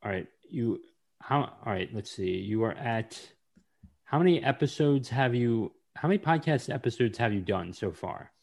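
A man's voice: mid-range voice, lively intonation, moderate pace, vocal fry, a little mellow. A man hosting a podcast interview, hesitant, with many fillers and restarts. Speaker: podcast host. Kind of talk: casual interview.

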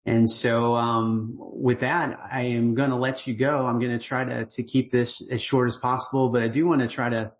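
A middle-aged man, the writer of a newsletter, gives a casual voicemail-style update, relaxed and earnest, rambling with fillers and restarts. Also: mid-range voice, normal speed, few pauses. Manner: grateful and earnest.

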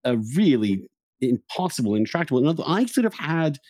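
A man with a soft, mellow voice hosts a thoughtful conversation, recorded very dry and close. He speaks haltingly, feeling his way through ideas and doubling back on words as he reflects. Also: on the high side, big pitch swings, no room sound. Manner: halting, searching, self-interrupting with repeated words. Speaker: podcast host.

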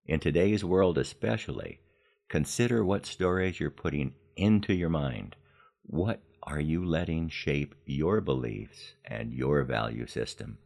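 An American man in his seventies narrating a scripted personal podcast in a measured storytelling manner with deliberate emphasis. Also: extremely low pitch, gravelly, a little mellow. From Los Angeles, United States. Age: 70s. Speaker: podcast host.

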